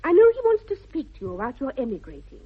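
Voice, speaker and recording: A woman's voice: high voice, huge pitch swings, fast talker, slightly rough, full character voice. An actress playing a sly, artless young upper-class English girl in a precise, demure 1960s radio comedy. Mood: prim teasing. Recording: muffled, band-limited old radio broadcast.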